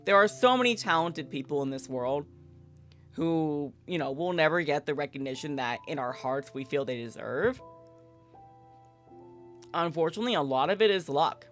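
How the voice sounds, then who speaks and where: A woman's voice: sits low, animated, moderate pace, pauses often. A woman livestreaming a visual novel, reading it aloud and chatting candidly in casual, sweary language. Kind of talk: gaming livestream with read-aloud and casual commentary. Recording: background music under the voice. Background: game music.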